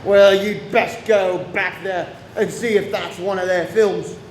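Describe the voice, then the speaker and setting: A man's voice: high-pitched, fairly even intonation, a little slow. A man playing a grave, seasoned police superintendent in a radio play. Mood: inquisitive, brisk. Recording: echoey room with faint noise.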